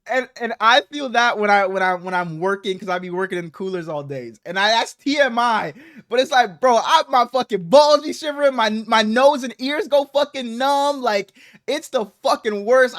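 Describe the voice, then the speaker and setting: Male podcast host, high voice, slightly congested, slangy and profane casual chat. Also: fairly bright tone. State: slightly congested, under the weather.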